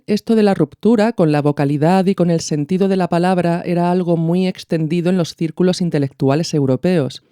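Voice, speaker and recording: A man hosting a podcast, reading scripted educational narration with measured, explanatory phrasing. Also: sits high, dark tone, smooth, no echo, clean studio sound. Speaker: podcast host.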